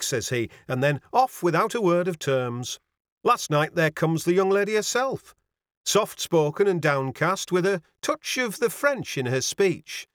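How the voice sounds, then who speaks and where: A man's voice: high voice, fairly bright tone, dry recording, clean studio sound, pitched above the performer's own voice, heavily acted. A man voicing a fussy, kindly Yorkshire landlady in a storybook, exclaiming in deferential dialect and easily alarmed.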